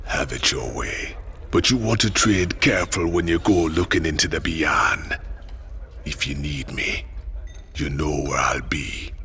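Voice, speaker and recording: An actor playing a brooding, cryptic psychic outlaw in a video game, with a Caribbean accent and a gravelly, ominous way of speaking. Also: male voice, a bass voice, pushed and bright, slight background noise, exaggerated voice. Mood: dark, foreboding. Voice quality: gravelly, often breathy. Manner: slow, ominous, knowing. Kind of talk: video game cutscene acting. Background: music.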